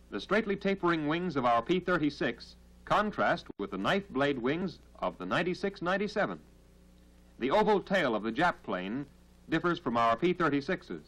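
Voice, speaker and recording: An American man narrating a 1940s military training film in a formal, measured, instructional manner, on a period film soundtrack. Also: fairly high pitch, a bit bright, rough recording.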